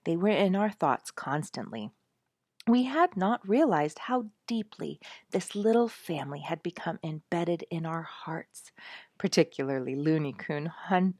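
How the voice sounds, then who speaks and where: A woman's voice: low-pitched, expressive, unhurried, no echo, studio quality. A woman narrating a story expressively, as in a dramatized audiobook reading. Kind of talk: dramatized reading of a memoir-style animal story.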